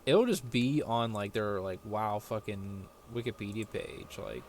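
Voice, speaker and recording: A man's voice: fairly low pitch, sing-song, measured pace, a little hiss or hum. A man giving casual, rambling, sweary gameplay commentary, sometimes reading game text aloud. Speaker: gaming streamer playing through a story quest. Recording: loud game music behind. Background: game music.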